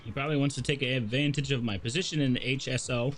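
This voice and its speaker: A man's voice: mid-range voice, steady tone, fast, slight background noise, natural voice. A man voicing an easygoing, sly young game character with a casual, knowing manner. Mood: sly, explanatory.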